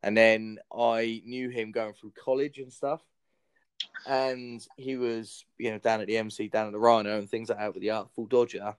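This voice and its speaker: A man's voice: fairly low pitch, level tone, moderate pace, clean tone, dry recording. A man hosting a podcast, chatting in an easygoing way, telling anecdotes and laughing along. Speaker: podcast host. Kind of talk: casual chat.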